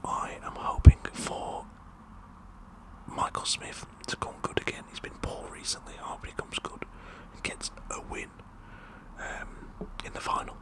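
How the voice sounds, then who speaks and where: A man's voice: measured pace, low-quality recording. A British man whispering a rambling, jokey, blokey ASMR roleplay monologue. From United Kingdom. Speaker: ASMR performer.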